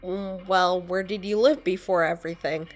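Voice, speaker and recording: A woman's voice: fairly low pitch, steady tone, normal speed, soft background music, natural voice. A woman voicing an earnest young wanderer who asks a lot of questions, in a game dialogue. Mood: curious. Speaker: player character. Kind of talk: fan-voiced game dialogue.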